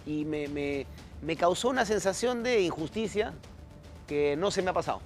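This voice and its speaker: A man's voice: sits high, lively intonation, normal speed, faint music behind. A man with a Peruvian accent in casual conversation, emphatic and often repeating himself as he searches for words. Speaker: talk show panelist.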